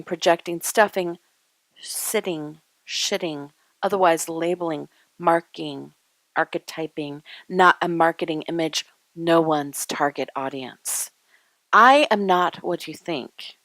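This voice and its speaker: A woman delivers a dramatic poetry reading in a somewhat low voice. Her phrasing is deliberate and declarative, with weight on key words and pauses between short lines. The sound is dry, with very little room around it. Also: a bit bright, no room sound, poor audio. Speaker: poet.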